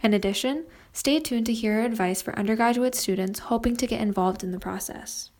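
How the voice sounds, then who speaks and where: A woman's voice: a little high, a little fast, a little mellow, slight background noise. A woman hosting a podcast, reading a scripted introduction. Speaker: podcast host.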